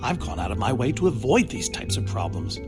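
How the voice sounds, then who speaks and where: An actor playing an eccentric, neurotic recluse inventor in a video game, wordy, wry and evasive, with music underneath. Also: male voice, medium pitch, very animated, fairly quick, natural voice. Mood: exasperated, dismissive. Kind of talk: animated video game voice acting.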